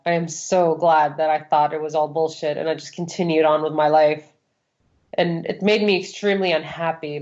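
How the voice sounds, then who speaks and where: A woman's voice: sits low, darkish tone. A woman from Saudi Arabia giving candid personal testimony as an interview guest, frank and emphatic, repeating phrases for stress. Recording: dull, lo-fi sound.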